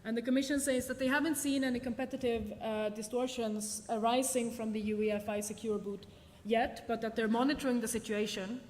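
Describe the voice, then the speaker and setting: A woman's voice: on the high side, fairly even intonation, fairly quick, a little mellow. Woman speaking in a reverberant hall. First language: Swedish. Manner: thinks aloud with frequent ums and restarts. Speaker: conference speaker. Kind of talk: conference talk and Q&A answers. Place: large lecture hall. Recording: long hall reverb, faint noise.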